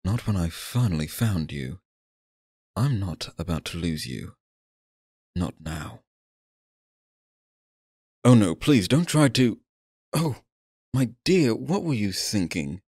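A man acts out a sinister male stage magician in an ASMR roleplay, courtly on the surface and possessive underneath. His lowish voice swoops expressively through theatrical, coaxing phrases. The sound is dry and close, with no room around it. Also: sing-song, takes it slowly, pauses often, darkish tone, husky, dry recording, exaggerated voice. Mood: menacing, possessive, then concerned, controlling.

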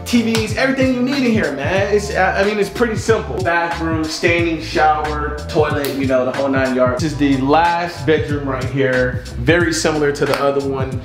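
A man's voice: fairly high pitch, normal speed, hardly any pauses. Laid-back joking man giving a house tour. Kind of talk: casual house-tour chat. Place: large house, indoors and by the pool. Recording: echoey rooms with music behind.

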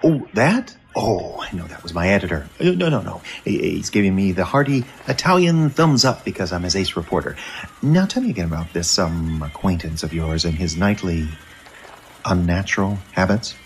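A man's voice: low-pitched, lively intonation, moderate pace, a little hiss or hum. A man playing a glib, flirtatious, distracted newspaper reporter in an audio drama, mumbling asides. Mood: smooth, flirtatious. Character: fast-talking, wry, flirtatious male newspaper reporter.

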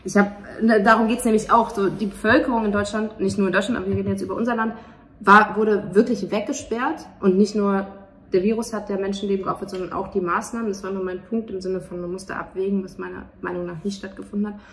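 A young woman speaking casually and spontaneously as an interview guest, halting as she searches for words. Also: mid-range voice, steady tone, fairly bright tone, distant mic. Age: young adult. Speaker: stage performer and interview guest. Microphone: handheld interview microphone. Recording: echoey, slightly noisy, off-mic.

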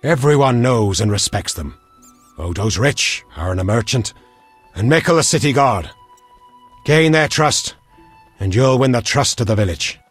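An actor playing a stern, zealous old priest of a fire cult in a fantasy game, solemn and preachy. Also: male voice, medium pitch, lively intonation, a little slow, pauses often, slightly rough, faint music behind. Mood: grave. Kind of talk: fantasy video game dialogue.

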